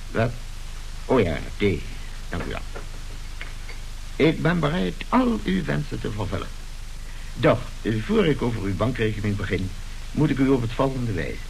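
A man's voice: mid-range voice, vocal fry, low-quality recording. An actor playing a pompous, newly rich tycoon and father in a radio play, curt and businesslike. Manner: pompous, businesslike, clipped dictation. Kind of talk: radio drama acting. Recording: hissy old radio drama recording.

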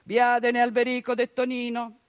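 A woman's voice: on the high side, monotone, normal speed, clean tone, no room sound. Woman in a bitterly ironic stage monologue. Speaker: stage performer. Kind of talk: theatre monologue on radio.

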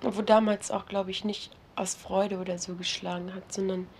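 Young woman, Berlin accent, halting interviewee, roomy distant 1970s tape. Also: mid-range voice, little pitch movement, a little hiss or hum. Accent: Berlin German. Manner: hesitant, halting, frequent tag questions. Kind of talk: candid recorded interview.

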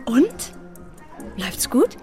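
An actress playing a lively, friendly young female student in a German radio drama. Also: fairly high pitch, takes it slowly, music in the background, full character voice. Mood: light, kind. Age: young adult.

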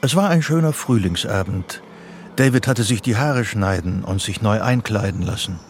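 An actor playing the sober narrator of a radio thriller, telling the story in a measured, detached way. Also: male voice, fairly low pitch, slight background noise, natural voice. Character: sober third-person narrator of a psychological thriller. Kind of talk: scripted radio play narration. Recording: music under the narration. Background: music.

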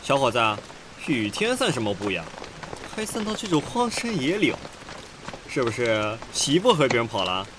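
A man's voice: medium pitch, very animated, moderate pace, background noise, natural voice. An actor playing a coarse, taunting male traveller in a costume audio drama, a scornful sidekick. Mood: jeering.

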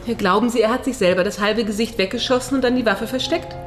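An actress in a radio crime play playing a brisk, sardonic doctor turned amateur sleuth, blunt and probing. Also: female voice, a little high, faint music behind, natural voice. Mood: sharp, impatient.

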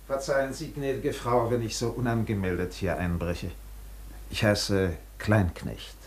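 An actor playing an obsequious, anxious hotel director in a German radio drama, stiffly courteous and deferential. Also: male voice, fairly low pitch, steady tone, a little slow. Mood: stiffly apologetic. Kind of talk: German radio play acting.

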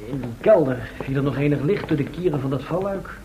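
An actor in a radio drama playing an anxious, suspicious, fussy adventurer with a cautious and formal manner. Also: male voice, fairly high pitch, expressive, fast, natural voice. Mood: wary. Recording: noisy old radio play recording.

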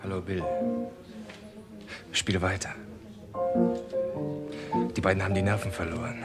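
An actor playing a menacing, insolent gangster in a vintage radio crime drama, slangy and threatening. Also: male voice, low voice, flat delivery, unhurried, over loud music. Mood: low menace.

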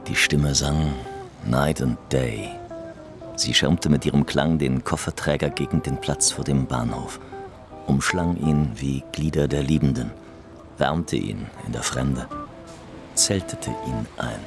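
A man narrates a literary radio drama in an extremely low voice. He takes his time over each phrase, with guitar music clearly behind him. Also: slow pace.

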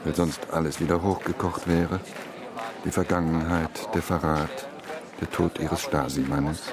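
An actor playing an earnest, probing journalist in a radio crime drama. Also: male voice, a bass voice, little pitch movement, unhurried. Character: earnest young-looking male journalist, probing, then apologetic. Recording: crowd murmur behind the voice.

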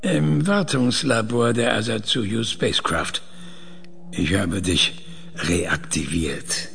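A man acting in a radio play as a calm, controlling scientist with a husky, gravelly voice and a measured, deliberate way of talking. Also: lowish voice, exaggerated voice. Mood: calm, probing. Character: calm, controlling male scientist interrogating an artificial mind. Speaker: radio drama actor.

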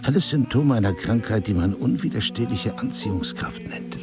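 An actor playing an intense, probing husband in an intimate audio drama, speaking in an eloquent, insistent way. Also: male voice, fairly low pitch, animated, normal speed, loud music behind the voice, lightly acted. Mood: flirtatious, urgent. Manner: insistent, questioning, theatrically eloquent. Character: intense, probing crime-writer husband with memory loss. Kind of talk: intimate two-person audio drama acting.

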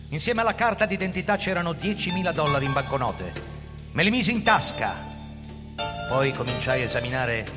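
A tense, brooding male fugitive played by an actor tells his own story in theatrical radio drama fashion. His voice rings in a reverberant hall, with clear music playing behind him. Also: medium pitch, lightly acted. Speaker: lead actor and narrator. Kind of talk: dramatic first-person radio drama narration. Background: prominent musical instruments.

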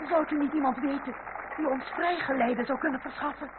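Woman playing a high-voiced, imperious noble lady. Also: steady tone, fairly quick, natural voice. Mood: anxious, hopeful. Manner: imperious, exclamatory, formal. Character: indignant, impatient high-born lady traveller. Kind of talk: period radio drama acting. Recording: noisy, narrow old radio recording.

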